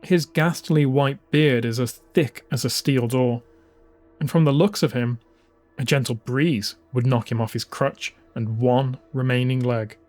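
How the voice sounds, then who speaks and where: A man narrates a dramatised audiobook at a slow pace. His pitch is medium, and music plays underneath the scripted reading. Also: steady tone, darkish tone.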